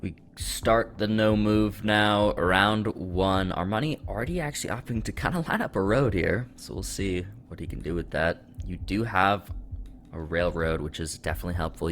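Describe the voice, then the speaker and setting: A man with a fairly low voice calls a competitive match live, rising sharply in pitch whenever a big play lands. Clear background music runs under his commentary. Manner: excitable, pitch jumps up on big moments. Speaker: esports commentator.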